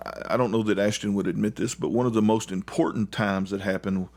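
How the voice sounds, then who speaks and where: A man's voice: fairly low pitch, steady tone, fairly quick, a little mellow. A middle-aged man speaking earnestly and plainly as a guest in a conversational interview, like a parent telling family stories.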